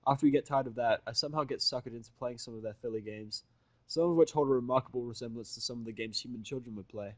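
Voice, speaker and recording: Male story reader, medium pitch, wry informal first-person narration, recorded very dry. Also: quick pace, no room sound. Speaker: fan fiction reader.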